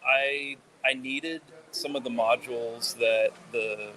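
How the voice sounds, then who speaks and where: A man's voice: medium pitch, steady tone, takes it slowly, bright, forceful tone, slight background noise, rough recording. A man guest explaining his work plainly and matter-of-factly, with frequent restarts. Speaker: guest, forensics developer.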